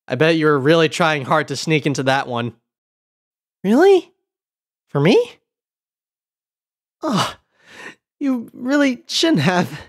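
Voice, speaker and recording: Expressive woman voice actor, wide-swinging pitch. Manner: expressive, theatrical. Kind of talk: improvised acting demo reel.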